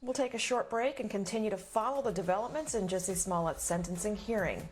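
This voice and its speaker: Woman news anchor doing live tv news and interviews, medium pitch. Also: a little fast, over music.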